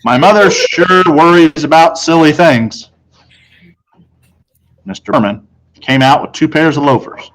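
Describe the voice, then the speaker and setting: A man's voice: on the high side, a little slow, pauses often, fairly bright tone, poor audio. A man reads a children's novel aloud as first-person storytelling, in the role of a teacher.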